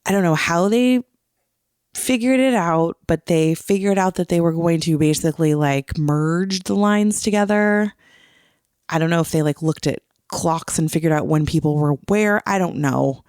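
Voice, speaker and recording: A woman's voice: fairly low pitch, normal speed, a little mellow, clean tone, no echo, studio quality. A woman co-hosting a podcast, recounting things in casual, conversational storytelling. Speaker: podcast co-host. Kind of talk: casual chat, recounting a trip.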